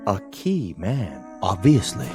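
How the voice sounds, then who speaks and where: An actor playing a solemn, curious stranger in a radio drama, with a grave and formal manner. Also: male voice, lowish voice, sing-song, takes it slowly, gravelly, dark tone, soft background music, exaggerated voice. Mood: grave, intent. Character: solemn, curious stranger, a man puzzled by ordinary things.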